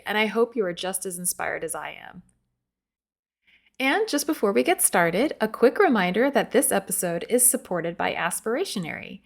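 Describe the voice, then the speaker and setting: An enthusiastic woman hosting a podcast, gushing as she introduces her guest and asks questions. Her voice sits at an ordinary middle pitch. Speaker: podcast host. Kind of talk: scripted intro then casual interview.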